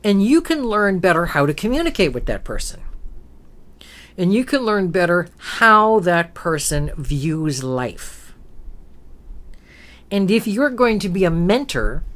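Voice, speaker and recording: Low-pitched female talk host, emphatic and preachy, fond of rhetorical questions. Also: big pitch swings, unhurried. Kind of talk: opinionated spiritual talk.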